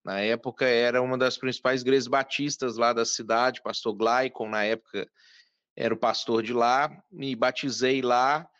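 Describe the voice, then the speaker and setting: A man in his thirties from Minas Gerais, a pastor and guest, telling his story in a measured, earnest way with frequent restarts. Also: medium pitch, steady tone, a bit bright, clean tone, no room sound, dull audio. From Brazil, Minas Gerais. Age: 30s.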